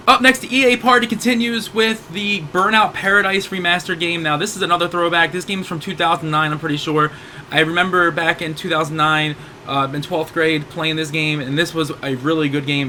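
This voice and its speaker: Hoarse man in his 30s, gaming host, rambling upbeat sale rundown, a bit high and bright. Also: steady tone, a little fast, a little hiss or hum. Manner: rambling, self-correcting, upbeat. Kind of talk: casual game sale rundown.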